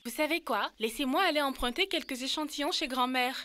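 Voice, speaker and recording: A woman voicing a sensible, slightly bossy and friendly young girl in a French-dubbed children's cartoon, brisk and teasing. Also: high-pitched, bright, forceful tone, slight background noise. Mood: confident.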